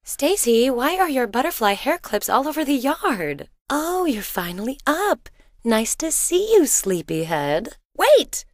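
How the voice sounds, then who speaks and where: A woman voicing a cheerful, doting wife and mother in a video game, sweet and expressive, with an inquisitive streak. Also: on the high side, no room sound, clean studio sound. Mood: cheerful, fond, then bright, bustling. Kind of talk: video game character voice acting.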